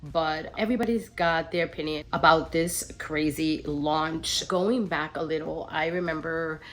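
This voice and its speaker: A woman's voice: low voice, a little slow, a bit bright. A middle-aged woman vlogging in a casual, rambling chat, sounding nasal as she gets over the flu. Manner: rambling, many ums and restarts.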